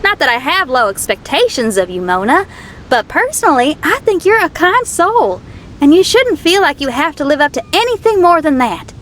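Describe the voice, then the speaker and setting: A woman's voice: high voice, sing-song, normal speed, fairly bright tone, slight background noise. A woman playing a kind, friendly young adventurer girl in an anime-style game, earnest and casual. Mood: supportive.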